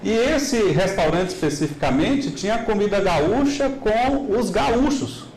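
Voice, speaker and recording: Middle-aged male lecturer with a Minas Gerais accent, lively and quizzing the audience; echoey hall with faint noise. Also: high voice, measured pace. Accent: Minas Gerais Brazilian Portuguese. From Minas Gerais, Brazil. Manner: animated, asks the audience rhetorical questions. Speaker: business lecturer. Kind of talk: motivational business talk. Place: large hall.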